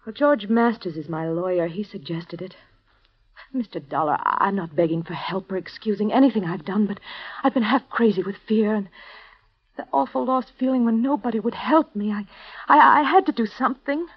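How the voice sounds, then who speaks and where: An actress playing a frightened, desperate wife in a 1950s radio drama, speaking in a breathless, stammering plea, on an old broadcast recording. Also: female voice, mid-range voice, moderate pace, slightly rough, lo-fi sound. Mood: pleading. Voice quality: breathless, pleading, stammering delivery.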